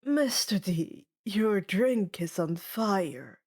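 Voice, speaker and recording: A woman playing a gentle, faltering camp helper in an audiobook, hesitant and earnest. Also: medium pitch, very animated, very slow, exaggerated voice. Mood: helpful, uneasy.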